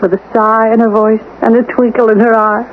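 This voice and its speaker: An actress playing a self-assured, formal, managing housekeeper in a 1970s radio drama. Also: female voice, fairly high pitch, little pitch movement, fairly quick, close to an everyday voice. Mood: wistful, flattering. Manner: poised, formal and persistent. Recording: tinny, noisy old radio broadcast.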